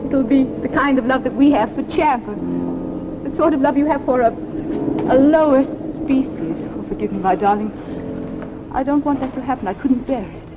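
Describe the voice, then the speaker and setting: High-voiced anguished 1950s drama wife played by an actress. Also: female voice, close to an everyday voice. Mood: tender anguish. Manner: halting, emotive, repeats phrases. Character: anguished wife transforming into a superior being, loving but resolute. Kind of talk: 1950s television drama acting. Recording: noisy, muffled 1950s broadcast recording.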